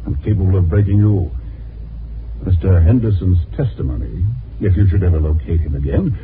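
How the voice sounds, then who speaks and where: A man playing a haughty, scheming lawyer with a cold, formal, menacing manner in a 1950s radio drama. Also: sits low. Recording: tinny, noisy old radio recording.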